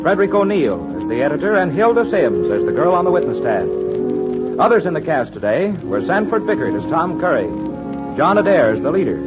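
A man announcing the credits of a radio program in a formal, declamatory broadcast style. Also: a little high. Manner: crisp, declamatory credits reading. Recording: dull 1940s radio broadcast recording with music.

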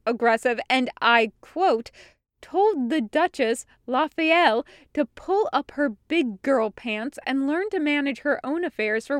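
A woman voicing a stern, indignant female guard captain who scolds forcefully in an audiobook story. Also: high voice, no room sound, studio quality, pitched above the performer's own voice. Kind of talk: audiobook character acting.

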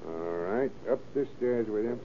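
An American actor playing a shifty, gruff ex-convict father with curt, slangy talk in an old radio crime drama. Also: on the low side, lively intonation, measured pace. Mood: breezy, cynical. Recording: muffled, noisy old radio recording.

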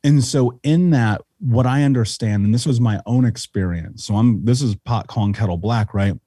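A man's voice: medium pitch, normal speed, warm tone, no room sound, clean studio sound. A man talking as a podcast guest in a blunt, intense and profane way, in casual conversation.

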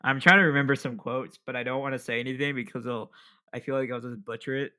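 Young dude solo podcasting, bright clear voice, rambly and jokey, laughs at himself. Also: male voice, mid-range voice, fairly even intonation, fast. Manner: rambling, self-deprecating, laughs often. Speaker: podcast host. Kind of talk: casual solo podcast chat.

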